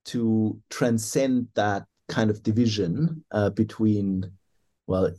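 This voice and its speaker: A man, an author and historian, answering interview questions in a considered, hesitant way with many pauses and restarts. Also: lowish voice, fairly even intonation, mellow.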